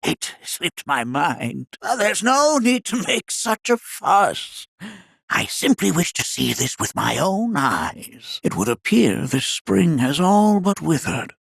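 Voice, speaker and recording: A man playing a whimsical, absent-minded elderly scholar in a video game, raspy and creaky, speaking in formal, old-fashioned phrases. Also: on the high side, very animated, takes it slowly, a bit bright, no room sound, exaggerated voice. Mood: musing. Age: older. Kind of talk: video game character acting.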